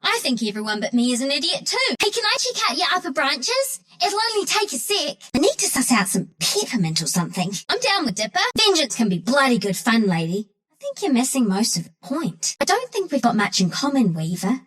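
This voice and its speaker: A mischievous young squirrel of the woods, a video game heroine with plenty of cheek. Her voice sits high and leaps about animatedly. She talks in cocky slang. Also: huge pitch swings, a little fast, a bit bright, slightly rough, heavily acted. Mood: cheeky, blunt. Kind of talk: video game character voice lines.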